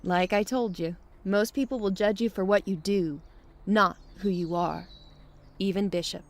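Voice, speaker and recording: A woman's voice: mid-range voice, fairly even intonation, measured pace, close to an everyday voice. A woman playing a friendly, helpful settler in an audio drama. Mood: encouraging.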